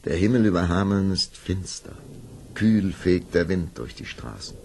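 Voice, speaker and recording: Very deep, gravelly male storyteller. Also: expressive, normal speed, dark tone. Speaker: narrator. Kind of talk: dramatic fairy-tale storytelling. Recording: noisy old audio-play recording.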